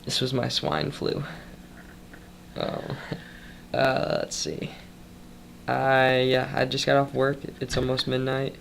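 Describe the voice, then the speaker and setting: A young man chats through a rambling vlog update with a stuffed-up nose from a cold. He sounds worn out after a long shift, and his mid-range voice slips into gravelly fry. He talks loosely, with plenty of ums and side comments. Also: medium pitch, slow pace, pauses often, fairly bright tone, a little hiss or hum. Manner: loose and chatty, full of ums and trailing asides. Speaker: vlogger.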